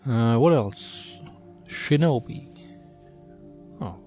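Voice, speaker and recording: A man's voice: medium pitch, animated, extremely slow, mellow, faint music behind. A man giving casual gameplay commentary, musing aloud, reading menu text and asking himself questions. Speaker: video game player and channel host.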